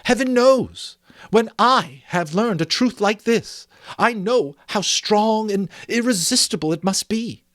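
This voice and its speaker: A man plays a young Victorian woman in an audiobook, her voice lifted high. She is gentle but wounded, speaking earnestly and deliberately, with wide swells of pitch as she releases her love. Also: no room sound, studio quality. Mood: sorrowful, earnest. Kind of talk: dramatic audiobook reading of a Victorian story.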